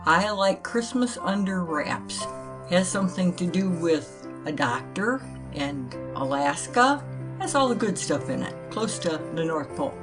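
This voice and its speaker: A woman's voice: on the low side, lively intonation, a little slow, music in the background. An older woman giving short, casual answers as a guest on a podcast.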